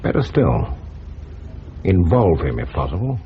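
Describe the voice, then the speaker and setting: A deep-voiced intelligence boss in a radio drama. He is a stern, exasperated man with emphatic swings of pitch, on a dull recording. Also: big pitch swings, unhurried, slight background noise, exaggerated voice.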